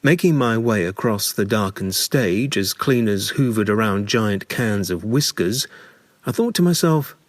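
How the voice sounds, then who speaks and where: A man narrating a scripted memoir in a measured, dramatic storytelling manner with deliberate pauses. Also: on the low side, expressive, no room sound, studio quality.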